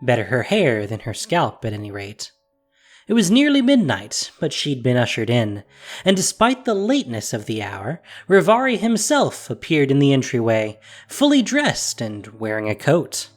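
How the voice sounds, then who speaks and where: A narrator reading a fantasy story in a measured, expressive storytelling voice. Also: medium pitch, soft background music.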